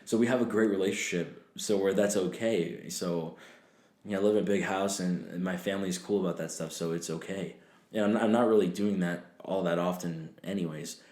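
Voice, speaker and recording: A man in his twenties chatting loosely on a podcast, his voice a little low, filled with fillers and curses. The room echoes around him. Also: steady tone, a little fast, darkish tone. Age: 20s. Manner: loose, swearing, laughing, trailing fillers. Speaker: podcast guest. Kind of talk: casual chat.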